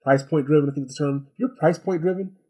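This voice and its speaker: A man with a fairly high-set voice and a soft, mellow tone talks through a gadget review off the cuff. He rambles in a folksy way, circling back and repeating phrases to drive points home. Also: fast talker. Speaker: tech reviewer and host. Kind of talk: casual, unscripted product review.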